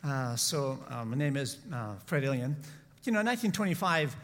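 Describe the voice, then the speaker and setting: A middle-aged man speaks as a visiting missionary preacher at a church service. His talk is conversational and halting, with frequent self-corrections. The voice rings through a hall with long reverb. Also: mid-range voice, very animated, fairly bright tone. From San Francisco, United States. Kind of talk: informal church talk with personal testimony. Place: large room, church service.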